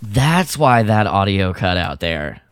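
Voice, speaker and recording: A man's voice: low-pitched, very animated, slow, clear, resonant tone, no echo. Casual man in a dry, close recording. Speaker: podcast panelist. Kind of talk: casual film-fan chat.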